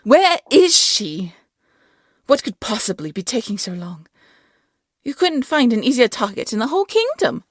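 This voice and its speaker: A woman's voice: a little high, big pitch swings, normal speed, no echo, studio quality, pitched above the performer's own voice. Woman acting a haughty, menacing evil queen. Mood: impatient, imperious. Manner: imperious, theatrical, menacing. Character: haughty, vain, scheming evil fairy-tale queen. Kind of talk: scripted fairy-tale audio drama acting.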